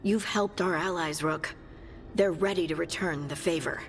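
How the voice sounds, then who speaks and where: A woman's voice: fairly low pitch, moderate pace, soft background music, natural voice. A woman playing a loyal, practical scout in a fantasy video game, earnest in manner.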